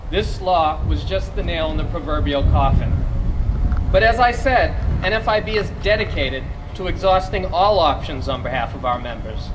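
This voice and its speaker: A man's voice: on the high side, noisy background. A man with a Massachusetts accent delivers a prepared political speech at a rally, speaking formally and emphatically as an advocacy spokesman. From Massachusetts, United States. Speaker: small business advocacy spokesman.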